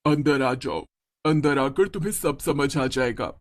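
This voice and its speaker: A man voicing a vengeful witch ghost in a cartoon horror tale, coaxing and commanding with drawn-out words. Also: mid-range voice, voice pushed down, lightly acted. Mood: eerie, coaxing. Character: vengeful female witch ghost, eerily inviting, bargaining. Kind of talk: cartoon horror moral story.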